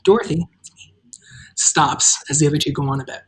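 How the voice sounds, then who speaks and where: Female host-narrator, a touch low, informal, self-deprecating, for casual chat and script narration. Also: normal speed. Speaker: writer and host, narrator of a table read.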